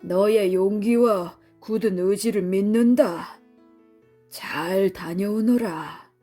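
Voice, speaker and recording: Woman putting on a slow, weighty fatherly king. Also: medium pitch, dark tone, soft background music. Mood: grave, fatherly. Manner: slow, weighty, archaic royal speech. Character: stern, loving old-fashioned king and father.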